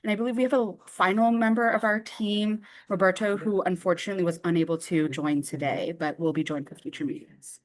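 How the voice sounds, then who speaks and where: A woman's voice: on the low side, fairly even intonation, a little fast, hardly any pauses. A woman from San Francisco, a graduate student, introducing herself politely over a remote call. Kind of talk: self-introduction at a meeting.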